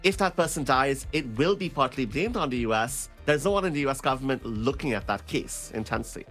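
A man's voice: mid-range voice, expressive, a little fast, a bit bright, faint music behind. A man with a mid-range voice gives analytical commentary as a reporter on a panel. His delivery is explanatory, punctuated by short checking questions. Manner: explanatory, often checks with "right?". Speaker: journalist, panel guest.

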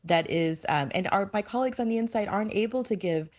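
A woman's voice: medium pitch, fast. A woman speaking as a former diplomat on a panel, giving an earnest, emphatic personal account.

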